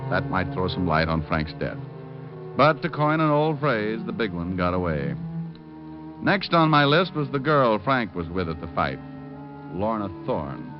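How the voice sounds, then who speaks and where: An American actor playing a wry, hard-boiled private detective who narrates his case in old radio style, dry and wisecracking. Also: lowish voice. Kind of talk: old-time radio acting, scripted narration. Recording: dull old radio recording. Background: dramatic music.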